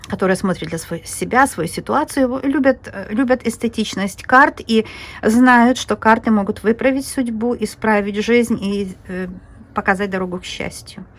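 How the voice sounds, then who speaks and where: A woman's voice: fairly high pitch, moderate pace. A woman reading tarot cards to viewers in a rambling, chatty way, full of fillers and restarts. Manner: addresses viewers directly as friends and girls. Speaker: tarot reader. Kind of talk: casual tarot reading monologue.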